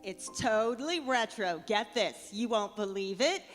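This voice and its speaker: A woman's voice: fairly high pitch, lively intonation, a little slow, soft background music. A woman playing a gossipy, mocking young hipster clerk with emphatic slang in a comic radio drama. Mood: amused, mocking. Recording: live stage show, reverberant hall.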